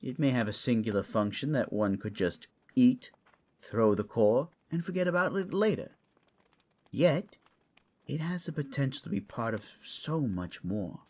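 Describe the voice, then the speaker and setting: A man's voice: mid-range voice, sing-song, a little slow, darkish tone. A man playing a roguish, scholarly furred creature in a fantasy audio drama, grumbling and explaining theatrically. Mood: earnest storytelling. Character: furred, clawed male creature, a roguish scholarly artifact-tinkering steward.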